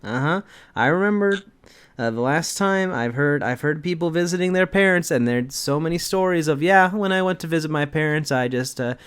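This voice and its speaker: A co-host riffing casually on a dramatic reading in a sarcastic, mock-defensive way, with halting repetitions. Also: medium pitch, smooth.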